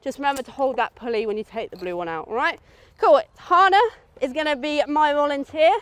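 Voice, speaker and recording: A woman's voice: high-pitched, a little fast. A woman with a British accent giving an informal, instructive safety briefing to a group, often checking they follow. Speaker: outdoor adventure course safety instructor.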